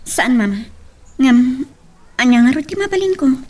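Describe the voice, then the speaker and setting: A woman's voice: high-pitched, lively intonation, moderate pace, darkish tone, far from the mic. A woman playing a sweet, troubled young woman in love, tender and affectionate, in an Ilocano radio drama.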